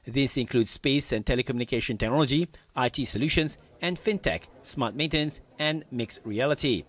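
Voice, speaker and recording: A man in his thirties presenting the news from a studio, reading a scripted report in an even, formal manner. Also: medium pitch, brisk.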